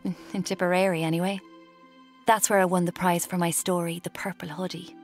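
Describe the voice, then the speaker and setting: An actress playing a dreamy, self-deluded young Irish woman narrating her own story in a breathy, confiding, languid way for radio drama, with music underneath. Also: lowish voice, level tone, brisk, a little mellow, natural voice. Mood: wry, confiding. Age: young adult. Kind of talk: intimate first-person radio drama narration.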